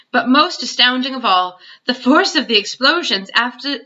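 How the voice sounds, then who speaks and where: A woman reading a children's story aloud as a teacher, in an expressive narrating style. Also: on the high side, dull audio.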